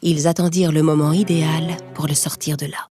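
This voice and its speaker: A woman's voice: low voice, level tone, a little fast. A woman storyteller reading a scripted children's tale in narration style. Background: music.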